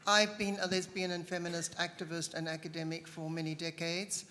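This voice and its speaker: A woman's voice: on the low side, level tone, a little fast, a bit bright. An older woman, an academic activist, introducing herself informally on a panel. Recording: echoey hall with noise.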